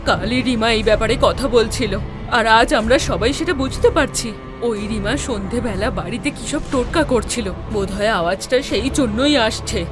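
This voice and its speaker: A woman voicing a stern, suspicious older mother-in-law who is quick to anger, scolding in an animated horror story. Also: fairly high pitch, close to an everyday voice. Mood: alarmed, accusing. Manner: scolding, accusing. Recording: music behind the voice.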